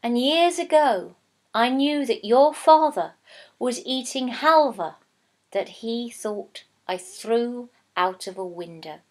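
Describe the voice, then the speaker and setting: A woman's voice: fairly high pitch, expressive, slow, a little mellow. A woman voicing a practical, wry wife and mother confiding an old secret.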